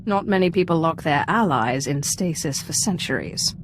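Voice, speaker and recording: A cool young sorceress hero from a game, with a fairly low voice. She is dry, wry and self-assured, heard over faint background noise. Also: lively intonation, normal speed. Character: cool, wry young female Sith apprentice. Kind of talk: video game character acting.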